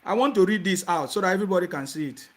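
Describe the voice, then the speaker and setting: Man hosting phone-in talk, emphatic and exclaiming, voice pitched high for a man. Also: rapid-fire. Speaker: call-in show host.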